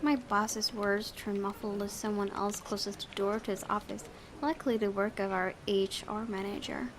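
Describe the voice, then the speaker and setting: A woman's voice: medium pitch, fairly even intonation, moderate pace, background noise. A woman reading visual novel narration aloud, breaking into casual asides as a gaming YouTuber. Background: background music.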